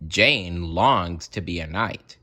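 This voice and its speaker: A man reading a children's story aloud in an expressive, deliberate way, with casual, chatty asides. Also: deep voice. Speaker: storybook reader.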